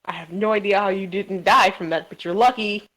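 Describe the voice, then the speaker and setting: A loud young woman with a bright, forceful voice, hosting a casual podcast. She talks over her gaming, swearing and trash-talking in a playful way. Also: mid-range voice, a little fast, a little hiss or hum, low-quality recording. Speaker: podcast host.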